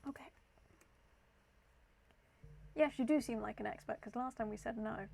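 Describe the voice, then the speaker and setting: A woman with a slightly croaky voice, hosting a casual game playthrough with commentary and read-aloud text. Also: on the high side, moderate pace, a little mellow. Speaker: gaming video host. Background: background music.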